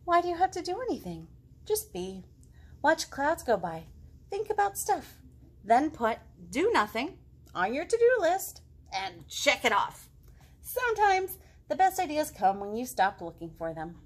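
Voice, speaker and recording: A woman voicing a calm, laid-back pig from a picture book who gives advice in a matter-of-fact way. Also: high-pitched, unhurried, voice pushed up. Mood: calm, advising.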